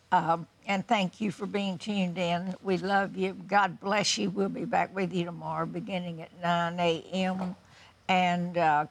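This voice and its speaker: A woman's voice: fairly low pitch, gravelly. A woman hosting a religious broadcast talk show, speaking unscripted to her panel and audience.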